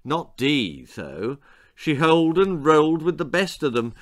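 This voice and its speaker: A man reading a scripted children's story as its author, in a dramatic, comic, emphatic manner with pauses. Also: a little high, big pitch swings.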